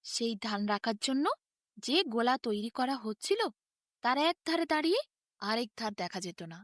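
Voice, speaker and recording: A woman's voice: a little high, lively intonation, no echo, studio quality, lightly acted. A woman voicing a lazy but crafty barber spinning tall tales in a sly, boastful way. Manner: sly, boastful, drawn-out storytelling.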